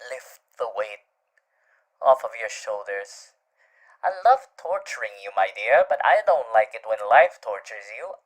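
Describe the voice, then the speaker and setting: A suave male demon for an ASMR roleplay, speaking slowly with wide, theatrical swings of pitch. He is courtly and teasing, yet tenderly devoted to his listener. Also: exaggerated voice. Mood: playful, teasing. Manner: courtly, theatrical, hushed turns into gleeful chuckles.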